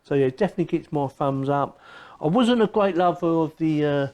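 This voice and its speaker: A man's voice: on the high side, animated, fairly quick, a little mellow. A man giving a casual, unscripted product review in a chatty way, often repeating phrases as he goes.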